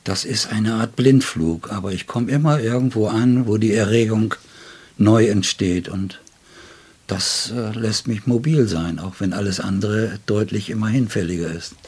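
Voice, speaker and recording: Older male writer from northern Germany, interviewed. Rough husky tone, lower pitch, reflective storyteller with ornate phrasing. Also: moderate pace, darkish tone. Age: 60s or older. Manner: ornate wording with frequent "ne?" tags. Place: indoors at his home.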